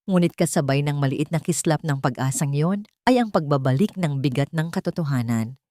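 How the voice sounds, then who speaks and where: A woman's voice: sits low, fairly quick, mellow, dry recording, clean studio sound. A woman narrating a scripted dramatic story.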